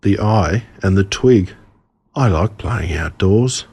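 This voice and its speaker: An Australian man reading a scripted children's storybook in a dramatic, deliberate way, relishing the gruesome parts. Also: sits low, lively intonation, a little mellow, husky. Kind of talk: scripted storybook narration told as a boy.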